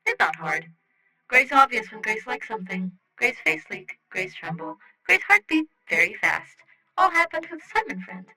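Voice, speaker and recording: A woman's voice: on the high side, very animated, normal speed, bright, forceful tone, exaggerated voice, rough recording. A woman voicing a blunt, loyal alien friend in an audiobook, speaking in clipped, broken grammar. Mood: matter-of-fact. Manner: clipped broken grammar, tags questions with the word "question". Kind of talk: audiobook fan fiction character voice.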